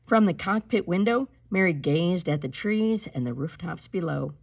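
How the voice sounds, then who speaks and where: A woman reading a children's picture book aloud in an expressive, emphatic storytelling style, slowing on key words. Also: fairly low pitch, creaky, slightly rough. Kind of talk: expressive read-aloud storytelling with spoken asides.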